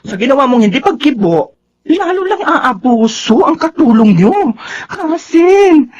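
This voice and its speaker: A woman's voice: sits high, big pitch swings, unhurried, a little mellow, highs cut off. A woman playing a sassy, protective, outspoken young cousin in a Filipino radio drama, exclamatory and mixing in English with a Filipino accent. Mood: indignant. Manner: exclamatory, mixes English into Tagalog.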